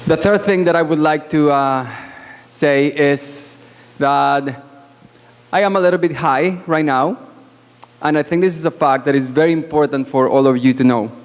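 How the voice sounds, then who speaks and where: A woman born abroad speaks as a panelist at a conference, with a loud voice and a frank, assertive, deliberate delivery broken by pauses, into a microphone in a banquet hall. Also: low-pitched. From born outside Canada. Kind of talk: conference speech. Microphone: microphone at podium. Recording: long hall reverb.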